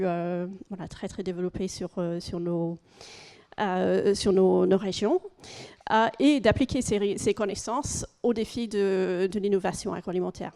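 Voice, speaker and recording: A woman with an English-speaking accent giving a conference presentation in French as a second language, pausing often with fillers and restarting phrases. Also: mid-range voice, darkish tone. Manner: hesitant, frequent fillers and restarts.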